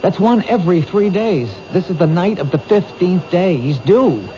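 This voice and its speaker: An actor playing a dogged police detective in a 1970s radio drama, pressing with urgent questions. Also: male voice, high-pitched, lively intonation, moderate pace, warm tone. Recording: hissy lo-fi old radio broadcast.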